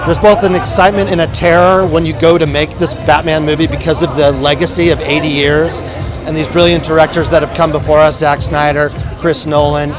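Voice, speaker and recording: A man, a film producer, speaking excitedly in a red carpet interview, with frequent fillers and hesitations. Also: a little high, brisk. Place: outdoor premiere red carpet. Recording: outdoor premiere with crowd and music.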